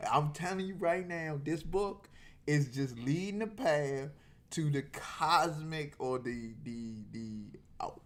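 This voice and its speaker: A man co-hosting a casual comic book review, excitable and slangy, often repeating himself for emphasis. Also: on the high side, takes it slowly. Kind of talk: casual two-person review chat.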